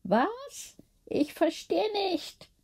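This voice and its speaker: A woman's voice: extremely high pitch, huge pitch swings, drawn-out words, darkish tone, voice pushed up, full character voice. A woman voicing a cooing aunt who sweet-talks her cat in a coaxing, hypnotic singsong for a bedtime storybook. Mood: puzzled, gentle.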